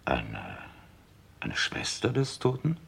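An actor playing a composed, sceptical police chief inspector in a German radio crime drama, asking measured, dry questions. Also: male voice, a bass voice, wildly swooping pitch, gravelly, fairly bright tone, slightly rough, full character voice. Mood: measured, probing.

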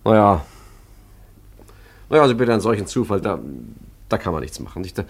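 An urbane, slippery art forger in a radio drama. His voice is fairly low, with a wry, politely ironic delivery and hesitant stammers. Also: lively intonation, brisk. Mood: flustered, wry. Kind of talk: German radio play narration and dialogue.

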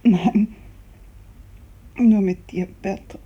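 An actress playing a gravely ill, bedridden woman in a 1950s radio drama, fearful and imploring, her weak, halting voice often sinking to a whisper. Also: medium pitch, fairly even intonation, heavily acted. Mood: weak, wistful. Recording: muffled 1950s radio recording.

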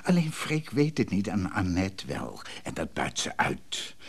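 An actor playing an evasive, indecisive, troubled man in a radio drama, speaking haltingly with a husky voice. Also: sits low, wildly swooping pitch, exaggerated voice. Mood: uneasy, evasive. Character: evasive, indecisive, troubled man caught between two women. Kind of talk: radio drama acting, dialogue.

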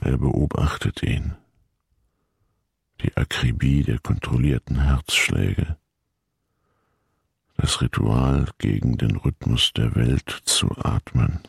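An actor playing a grave, watchful narrator in a poetic German radio play, speaking in a measured, solemn way. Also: male voice, extremely low pitch, vocal fry, breathy edge, dry recording, clean studio sound, full character voice. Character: grave, watchful narrator observing a man closely.

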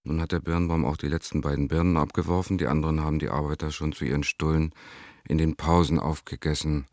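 A wistful male lover reads his letters in a radio play. His voice is extremely low, heard in a dry, close space. The delivery is wry and understated. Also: flat delivery, fast, darkish tone, no room sound.

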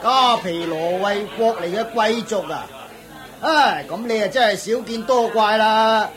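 A chuckling, worldly auctioneer. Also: male voice, sits high, unhurried, a crowd in the background. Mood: amused. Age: middle-aged. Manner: chuckling, knowing, lecturing. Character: chatty, worldly middle-aged male auctioneer. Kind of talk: radio play acting.

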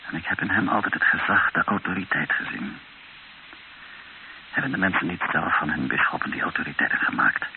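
Een man die in een hoorspel een ernstige, bezorgde Nederlander speelt, die ook bedachtzaam vertelt. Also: rumbling low. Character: earnest, troubled Dutchman, narrator and confidant. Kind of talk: radio play acting with reflective narration. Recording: muffled, hissy old radio recording.

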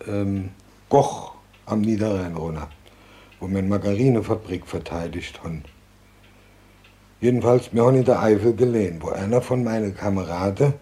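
An older man playing a war veteran who haltingly recalls his youth, searching for words. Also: on the low side, mellow, lightly acted. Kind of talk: halting spoken recollection.

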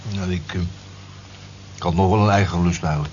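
An actor playing a sheepish, apologetic gambler with a halting manner in a radio drama. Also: male voice, sits low, steady tone, a little mellow, lightly acted. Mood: hesitant. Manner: hesitant, halting. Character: Bob, sheepish, apologetic gambler who never has money. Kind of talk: Dutch radio drama acting. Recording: muffled, lightly noisy old radio recording.

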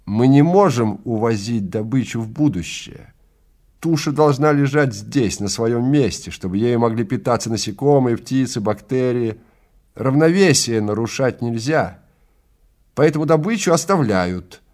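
A man's voice: mid-range voice, lively intonation, unhurried, a little mellow, pitched above the performer's own voice. Man playing stern, authoritative hunting guide. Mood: firm, explaining. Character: stern, matter-of-fact male time-safari hunting guide. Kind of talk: audio drama acting.

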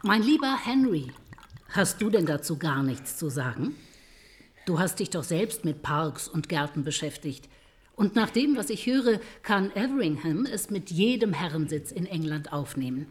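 A woman's voice: on the low side, very animated, moderate pace, slightly rough. Effusive, coaxing married lady. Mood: fond, coaxing. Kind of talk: radio drama acting.

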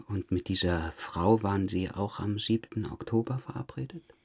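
An actor playing a calmly probing police detective in a radio drama interrogation, measured and insistent. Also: male voice, on the low side, creaky, husky.

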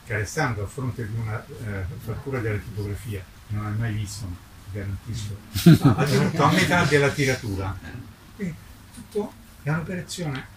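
An older man, over sixty, a publisher speaking in an impassioned, declamatory way at a public presentation, sometimes halting and repeating himself. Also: medium pitch, lively intonation. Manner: emphatic, declamatory, with halting repetitions. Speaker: book publisher. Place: book presentation before an audience, recorded from a distance. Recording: roomy, distant mic with clear background noise.

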